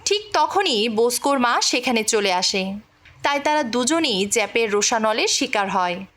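A woman's voice: fairly high pitch, expressive, normal speed, fairly bright tone. A woman telling a film's plot as scripted story narration. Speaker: movie recap narrator.

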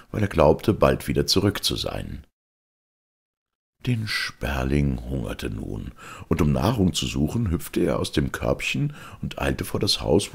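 A man's voice: very deep voice, vocal fry, breathy edge. A man reading a fairy tale aloud as a storyteller, in scripted narration.

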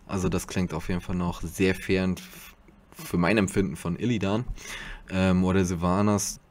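A man's voice: deep voice, fairly even intonation, normal speed, a little mellow, slight background noise. A man giving a casual tutorial commentary as host, speaking into a desktop USB microphone.

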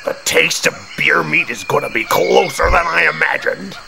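A man playing an excitable, eager hunter in an amateur cartoon audio drama. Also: on the high side, moderate pace, vocal fry, background noise. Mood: thoughtful, eager. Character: excitable male hunter regent, eager for meat. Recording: music laid under the voice.

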